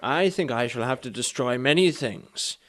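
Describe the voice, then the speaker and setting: An actor playing a haughty, bewildered Renaissance knight in a comic radio play, declaiming in archaic, self-important speech. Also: male voice, mid-range voice, animated, normal speed, lightly acted. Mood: puzzled, earnest. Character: pompous Renaissance knight, bewildered time traveller, haughty and literal-minded.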